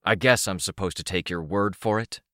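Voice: low voice, moderate pace, sharp tone, no echo, studio quality, pitched above the performer's own voice. A narrator voicing an impatient, suspicious male rival hero in a barking, accusatory manner. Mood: irritated, impatient.

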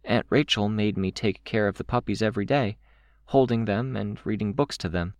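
A man's voice: lowish voice, normal speed, no room sound, studio quality, pitched above the performer's own voice, natural voice. A man voicing a candid, playful young girl with a wry, theatrical streak in an audiobook. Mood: quiet, confiding. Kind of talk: audiobook character voice.

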